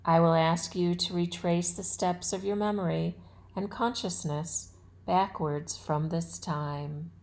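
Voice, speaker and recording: A woman leads a guided meditation in a fairly low voice. She speaks very slowly, giving relaxation instructions in short, deliberate phrases with a soothing manner. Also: steady tone, vocal fry, darkish tone. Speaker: yoga nidra instructor.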